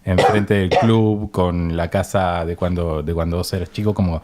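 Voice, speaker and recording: Man, lowish pitch, Rioplatense Argentine accent, interviewer, conversational, tentative roundabout phrasing. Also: steady tone, normal speed, background noise. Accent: Rioplatense Argentine Spanish.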